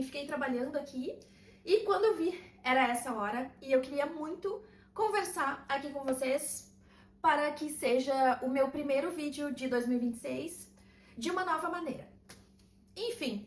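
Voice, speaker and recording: High-voiced woman in an echoey room. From southern Brazil. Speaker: vlogger and routine mentor. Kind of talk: casual talking-to-camera vlog.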